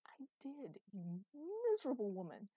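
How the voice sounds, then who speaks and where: Immortal female ruler for fantasy audiobook, voiced by a woman: imperious, exasperated, biting sarcasm, mid pitch. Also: lively intonation, moderate pace, warm tone, breathy edge, dry recording. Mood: furious, exasperated. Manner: bitter, sardonic, hissing outbursts.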